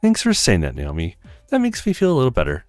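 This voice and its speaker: A man plays a shy young woman in a visual novel, polite and unsure of herself. The pitch moves around widely, recorded dry with light music underneath. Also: huge pitch swings, quick pace, darkish tone, no room sound. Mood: grateful. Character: shy, hesitant, kindly young woman with regrets. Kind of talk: acted visual novel read-aloud.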